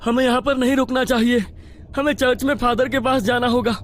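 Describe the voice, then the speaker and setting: A man's voice: very high voice, steady tone, brisk, slight background noise, voice pushed up. A man voicing an urgent, protective young man in a horror story.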